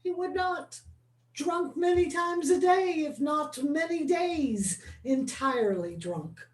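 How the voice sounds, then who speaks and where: A woman playing a dutiful male prison provost in a Shakespeare scene rehearsal, speaking verse in a slow, measured way. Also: high-pitched, expressive, voice pushed up. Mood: earnest. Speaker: acting student. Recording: roomy and distant.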